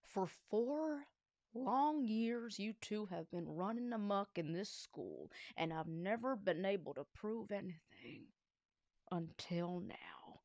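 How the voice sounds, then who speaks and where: A woman's voice: medium pitch, sing-song, a little mellow, dry recording. Woman voicing a smug scheming male principal. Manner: smug, slow and menacing. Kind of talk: storybook read-aloud.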